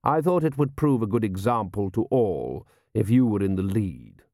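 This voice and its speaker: A man's voice: fairly low pitch, dry recording, studio quality. Man voicing a suave, menacing desert warrior. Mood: sly. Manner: formal, measured, deadpan courtesy.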